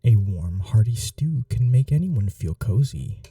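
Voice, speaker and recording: A man playing a courteous, caring gentleman vampire in an intimate ASMR roleplay, speaking softly in an unhurried, polite, slightly old-fashioned way. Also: lowish voice, sing-song, no room sound, clean studio sound, recorded from a distance, heavily acted. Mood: cozy, reflective.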